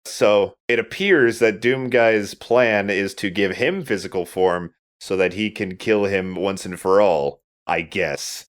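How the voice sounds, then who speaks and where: A man's voice: fairly low pitch, slow, hardly any pauses. A man giving casual, informal Let's Play commentary while playing a video game.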